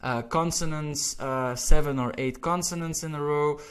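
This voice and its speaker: A Belgian man with a Flemish accent, a Dutch speaker teaching pronunciation in English, patient and repeating each word slowly. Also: on the high side, steady tone, fairly bright tone, clear, resonant tone. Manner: patient, repeats words slowly, says "all right" often. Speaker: language teacher. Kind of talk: pronunciation lesson.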